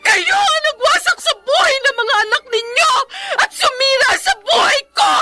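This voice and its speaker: An actress playing an anxious, aggrieved young housemaid in an emotional Tagalog radio drama. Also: female voice, extremely high pitch, expressive, moderate pace, sharp tone, raised voice, full character voice. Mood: furious, tearful. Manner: emotional, melodramatic.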